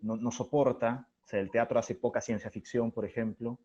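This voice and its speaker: A man's voice: mid-range voice, steady tone, mellow, husky. Peruvian man in dull lo-fi audio. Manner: articulate, discursive, thinking aloud with pauses. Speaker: interview guest, radio drama creator. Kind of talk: reflective interview talk.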